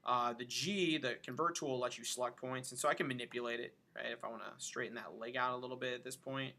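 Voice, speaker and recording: A man giving an informal software tutorial, thinking aloud as he demonstrates each step. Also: mid-range voice, fairly even intonation, fast, creaky. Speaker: animation instructor. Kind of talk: casual screen-recorded tutorial narration.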